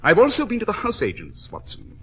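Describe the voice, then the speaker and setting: An actor playing a shrewd, secretive English detective in an old-time radio drama, clipped and authoritative. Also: male voice, high-pitched, wildly swooping pitch, a little fast, fairly bright tone, husky, full character voice. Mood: grave, urgent.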